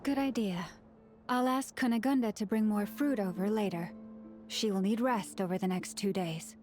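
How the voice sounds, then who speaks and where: A woman's voice: medium pitch, normal speed, a little mellow, faint music behind, lightly acted. A woman playing a composed, dutiful young priestess leader in a video game, speaking formally and courteously. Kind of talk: video game character acting.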